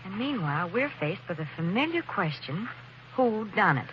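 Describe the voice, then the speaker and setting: A woman playing a spirited young woman with a lively, teasing manner in a 1940s radio drama. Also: mid-range voice, normal speed, lightly acted. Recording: dull, hissy old radio recording.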